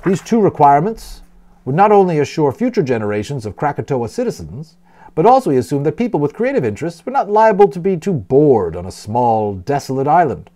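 Animated man reading a storybook aloud. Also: on the high side, fairly quick. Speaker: audiobook narrator and storyteller. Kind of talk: animated read-aloud of a children's novel.

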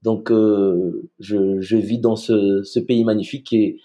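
Relaxed man from Madagascar, mellow voice. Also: fairly low pitch, measured pace. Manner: relaxed, easygoing, repeats words as he starts. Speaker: guest, retired soldier. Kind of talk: casual interview chat. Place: home room, video call.

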